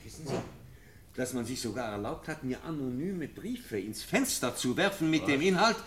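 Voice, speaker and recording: A man's voice: mid-range voice, lively intonation, moderate pace, a little hiss or hum, natural voice. A man playing a stern, worried professor in a radio play, emphatic and moralising in his delivery. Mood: troubled. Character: stern, worried schoolmaster professor, exasperated guardian of a foundling.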